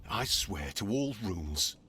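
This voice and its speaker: Expressive grumbling fantasy scholar. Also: male voice, low-pitched, takes it slowly, breathy edge, heavily acted. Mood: exasperated. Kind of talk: video game voice acting.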